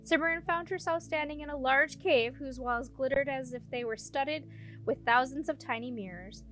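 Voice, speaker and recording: High-voiced woman reading a storybook. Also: moderate pace, a bit bright, soft background music. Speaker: audiobook reader. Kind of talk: scripted storybook narration.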